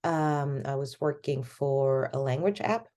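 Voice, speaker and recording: Low, mellow-voiced woman from Venezuela. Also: unhurried. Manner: rambling, many fillers like "like" and "you know". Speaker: podcast guest. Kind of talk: casual conversational interview.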